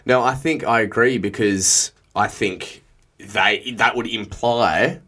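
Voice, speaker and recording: Sarcastic man, low voice. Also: fairly even intonation, pushed and bright. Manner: wry, sarcastic, drawn-out emphatic phrasing. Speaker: podcast co-host. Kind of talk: casual political chat.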